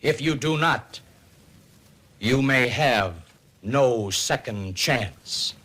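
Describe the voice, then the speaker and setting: Man, 1950s radio announcer, civil defense notice, slow, solemn, deliberate, mid pitch. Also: animated, background noise.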